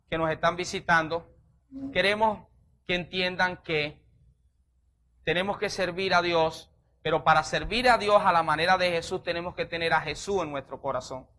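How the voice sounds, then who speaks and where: A man's voice: a little high, pushed and bright. A male pastor leading his congregation in an altar call and prayer, fervent and pleading. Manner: fervent, leads the church in repeated prayer.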